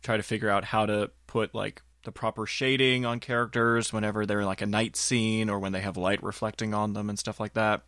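A man's voice: on the low side, flat delivery, a little fast, hardly any pauses, fairly bright tone, dry recording, clean studio sound. A man on a podcast panel discussing a film casually, with crude, sarcastic humour.

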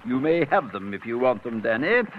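A man's voice: medium pitch, sing-song, moderate pace, natural voice. An actor playing a wry male clerk in a 1940s radio drama, reading out facts in a dry way. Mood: matter-of-fact. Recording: dull lo-fi old radio recording with faint noise.

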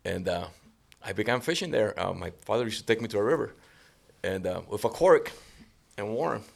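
Gravelly man in his sixties. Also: fairly low pitch, a little fast. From Cuba. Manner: relaxed storytelling with frequent restarts. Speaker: guest angler. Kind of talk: casual podcast interview.

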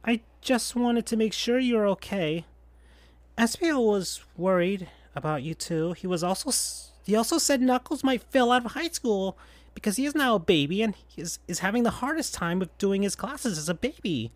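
A reader voicing a small, kind young male fox who pleads softly and sounds worried. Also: mid-range voice, expressive, moderate pace, no room sound, voice pushed up, natural voice. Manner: soft, pleading.

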